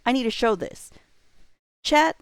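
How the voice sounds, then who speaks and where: A woman's voice: fairly high pitch, lively intonation, unhurried, clean tone, no echo. A woman livestream host chatting casually and thinking aloud, rambling and often interrupting herself. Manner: rambling, self-interrupting, informal.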